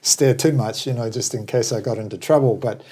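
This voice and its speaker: A man's voice: mid-range voice, a little fast, mellow, breathy edge. Discursive man from New Zealand. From New Zealand, later Australia. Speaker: interview guest, travel business operator. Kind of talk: conversational interview commentary.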